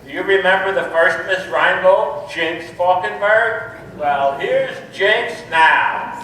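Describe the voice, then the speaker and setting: A man's voice: high-pitched, takes it slowly. A man narrating a scripted, nostalgic old-time radio show as its announcer. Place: echoey hall, far from the microphone. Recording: distant, very echoey stage, faint noise.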